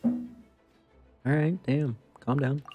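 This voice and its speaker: A man's voice: fairly high pitch, takes it slowly, muffled recording. A man doing casual live gameplay commentary, thinking aloud in a self-deprecating way as he mutters and exclaims. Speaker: video game livestreamer. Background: game music.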